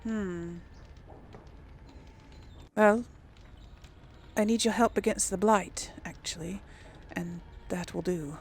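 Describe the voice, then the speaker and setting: A woman's voice: medium pitch, steady tone, slow pace, long pauses, breathy edge. A woman doing casual role-play commentary over a video game, musing in short asides. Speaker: gaming video host.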